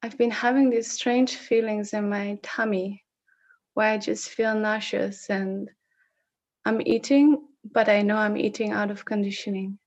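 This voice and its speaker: Slow, searching Dutch-accented woman. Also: medium pitch, fairly even intonation, darkish tone. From Netherlands. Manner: slow, searching, halting with restarts. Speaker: session facilitator. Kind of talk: personal reflective sharing on a group call. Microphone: video call.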